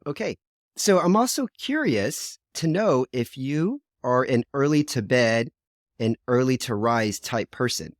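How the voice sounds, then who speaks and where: A man's voice: medium pitch, lively intonation, a little slow, no room sound, studio quality. A man hosting a podcast interview, upbeat and chatty, laughing often. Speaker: podcast host. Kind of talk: casual interview chat.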